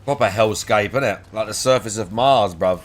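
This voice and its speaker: A man's voice: on the low side, normal speed. A young man giving casual let's play commentary, chatty, sarcastic and profane. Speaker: gaming video host.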